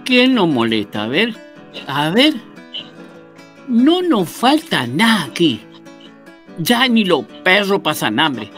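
Casting: a man as a high-voiced, lively old villager, slow and rousing, radio play. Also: faint music behind, heavily acted. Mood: proud. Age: older. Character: proud old Paraguayan villager toasting. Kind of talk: radio drama acting over video call.

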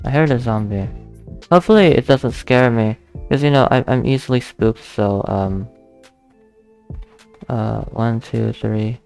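A young man plays a game at his desk and talks through it slowly, pausing often and counting out loud. His voice is mid-pitched with a gravelly, creaky texture. Soft background music plays faintly underneath. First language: not English. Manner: hesitant, thinking aloud, counting under his breath, nervous laughs, easily spooked. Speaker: gaming channel host. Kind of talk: casual let's play commentary.

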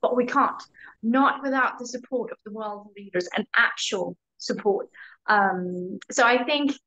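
A woman's voice: mid-range voice, pushed and bright. A young woman panelist on a video call from a room at home, speaking earnestly and emphatically. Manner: earnest, emphatic, quick asides. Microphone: video call laptop mic. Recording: dull lo-fi call audio.

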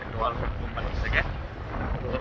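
A man in his thirties talking into a phone held at arm's length on a village road, thinking out loud and rambling with restarts. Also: medium pitch, monotone, rapid-fire, highs cut off. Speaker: vlogger. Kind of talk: casual reflective monologue. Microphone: phone selfie camera at arm's length. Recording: noisy outdoor phone recording.

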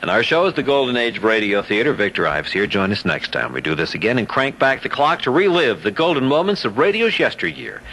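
A man's voice: medium pitch, big pitch swings, brisk, few pauses, sharp tone, slight background noise, low-quality recording. An older American man hosting a nostalgic radio show, speaking directly to his listeners. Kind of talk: nostalgic presenter talk to listeners.

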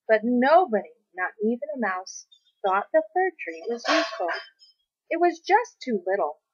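A woman's voice: on the high side, big pitch swings, moderate pace, slightly rough, no room sound, dull audio. Woman reading a children's story, dry sound. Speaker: storybook reader. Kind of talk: expressive scripted narration of a children's story.

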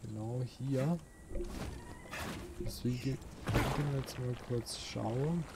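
A man hosts a casual let's play at an unhurried, slow pace, with an average-pitched voice. He mutters and grumbles at the game with some mild swearing. There is clear noise behind his voice. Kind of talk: casual video game commentary.

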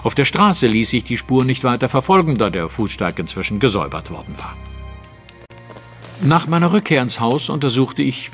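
A confident, analytical male detective in an audio drama. His delivery is articulate and highly animated, moving through the case with precision and dramatic flair. His pitch is medium, with faint music underneath. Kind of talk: German audio drama acting, narrative monologue.

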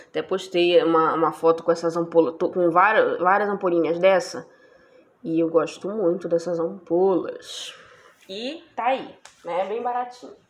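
A woman's voice: medium pitch, a little hiss or hum. A young woman chatting casually about products she bought, asking her viewers for agreement every few lines. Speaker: beauty vlogger. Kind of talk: casual product haul chat.

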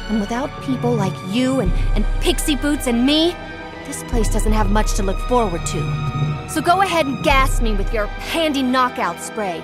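An actress playing a young superheroine in an animated comic, spirited, defiant and sarcastic. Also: female voice, high-pitched. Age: young adult. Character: feisty young woman superheroine, defiant and wry. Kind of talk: animated motion comic acting with inner monologue. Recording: music under the voice.

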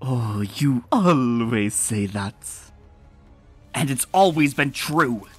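A woman reading a comic aloud, voicing a gloating male creature villain in a pompous, theatrical, drawn-out way. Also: extremely low pitch, animated, a little mellow, breathy edge, dry recording, soft background music, pitched below the performer's own voice, exaggerated voice. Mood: smug.

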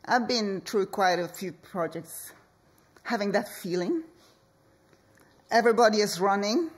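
A woman speaks as an architect presenting at a conference, her pitch sitting in an ordinary middle range. She talks slowly and deliberately, leaving pauses between short phrases. She speaks into a podium microphone on a hall stage. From Norway. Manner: slow, measured delivery with deliberate pauses. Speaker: architect and project leader.

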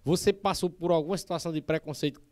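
A young man with a Northeastern Brazilian accent, hosting a podcast interview in a casual, relaxed way in a small studio. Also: on the high side, fast talker, no room sound. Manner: relaxed, frequent backchannel fillers. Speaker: podcast host. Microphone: studio mic on boom arm.

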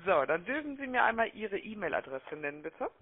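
A woman making a phone sales pitch, chatty and persuasive, often checking for agreement, heard over a telephone line. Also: sing-song, fairly quick, husky. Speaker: telemarketing caller. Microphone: telephone call.

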